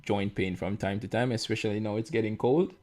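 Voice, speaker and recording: Relaxed Jamaican man, low voice. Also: a little fast. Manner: relaxed, chatty, explains with everyday examples. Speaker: podcast co-host. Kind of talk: casual chat.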